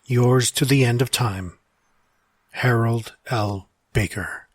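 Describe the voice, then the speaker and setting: A man's voice: medium pitch, takes it slowly, no room sound, clean studio sound. A man playing a melancholy, displaced time traveller narrating his letter in a formal, old-fashioned, reflective way for an audio drama. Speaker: writer and performer of an audio drama.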